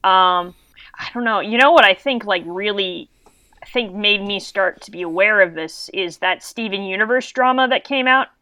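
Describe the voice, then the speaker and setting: A woman's voice: medium pitch, normal speed, bright, forceful tone, clean tone, no echo. A woman talking in a teasing, upbeat way in casual podcast chat.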